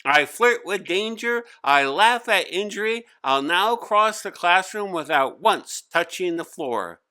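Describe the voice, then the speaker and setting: A man's voice: high voice, animated, measured pace, bright, forceful tone, clean tone, pitched above the performer's own voice, exaggerated voice. A man voicing a cocky, reckless third-grade boy who declaims boastfully in a children's storybook. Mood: boastful, excited. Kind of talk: children's storybook read-aloud.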